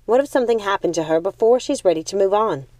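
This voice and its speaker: Earnest young witch female audiobook reader, pleading, dutiful, soft mellow tone, dry recording. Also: medium pitch, animated, fast talker, no echo, close to an everyday voice. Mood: insistent. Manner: pleading, insistent. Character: earnest, devoted young witch torn by duty.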